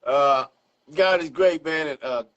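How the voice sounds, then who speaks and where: A man's voice: on the high side, measured pace, fairly bright tone, lo-fi sound. A man, hosting an interview as loose, slangy, profanity-sprinkled chat. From South Central Los Angeles, United States. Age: 50s. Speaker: interview host. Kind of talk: casual chat.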